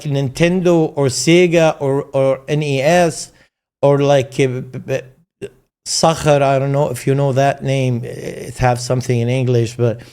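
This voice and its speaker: A man's voice: on the high side, a little mellow. Stammering Arabic-native man in his forties. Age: 40s. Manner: stammering restarts, repeats words, laughs often. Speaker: streamer and host. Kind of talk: casual interview chat.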